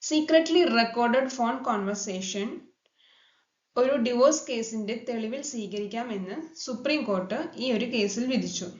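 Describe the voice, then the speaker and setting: High-voiced Malayali woman in an echoey room. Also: slow pace. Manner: formal, mixes in English legal terms. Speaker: legal explainer host. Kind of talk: scripted explanatory narration. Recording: dull lo-fi sound, echoey room.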